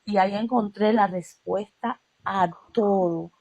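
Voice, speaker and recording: A woman's voice: medium pitch, a little slow, vocal fry, a little mellow, lo-fi sound. A woman from Cuba with a Cuban Spanish accent, telling personal stories in a casual spiritual chat, with fillers and repetitions. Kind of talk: casual conversation about spirituality.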